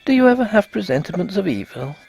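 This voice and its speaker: Man voicing an anxious young Victorian wife, high-pitched. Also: very animated, fast talker, gravelly, darkish tone, faint music behind, heavily acted. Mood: foreboding. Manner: tender, earnest, refined speech. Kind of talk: storybook character voice in a gothic tale.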